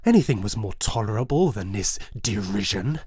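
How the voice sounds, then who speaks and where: A man playing a mad, falsely suave and feverishly nervous murderer in a theatrical gothic horror audiobook reading, with a husky, breathy voice and halting delivery. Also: on the low side, expressive, no room sound. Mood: anguished, agitated. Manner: theatrical, halting, swinging from hushed whispers to frantic cries.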